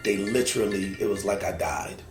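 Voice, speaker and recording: A man's voice: lowish voice, brisk, music in the background. A man speaking as a podcast guest, telling his personal story candidly and repeating phrases for emphasis. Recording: echoey room with background noise.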